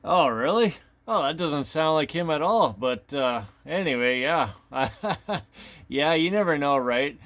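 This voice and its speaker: A man's voice: on the high side, expressive, a little slow, a bit bright, slight background noise. A man chatting casually as a radio operator, in an affable, somewhat hesitant way. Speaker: amateur radio operator, video maker. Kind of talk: casual radio contact chat.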